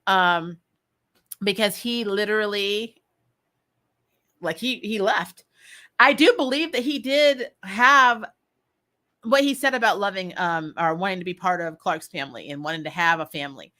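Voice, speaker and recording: Bright-voiced woman, casual and chatty. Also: medium pitch, a little slow, pauses often. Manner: animated, chatty, slangy, blunt. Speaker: host of a TV recap show. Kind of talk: casual, opinionated commentary.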